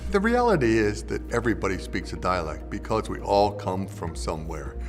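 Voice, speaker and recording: A man, a linguist, calmly explaining dialects in an interview. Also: lowish voice, a little fast. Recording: long reverb with faint music.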